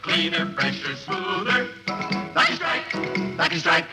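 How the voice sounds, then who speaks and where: A man's voice: on the high side, very animated, unhurried. A man singing a 1950s radio cigarette jingle. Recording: old radio advertisement with loud music.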